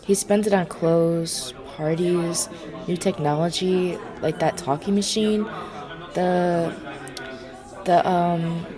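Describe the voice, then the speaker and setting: Woman acting a low-voiced, kindly Victorian wife. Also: fairly even intonation, takes it slowly, crowd noise. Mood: confiding. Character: kindly, concerned Victorian wife, chatty hostess and friend. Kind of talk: audio drama acting.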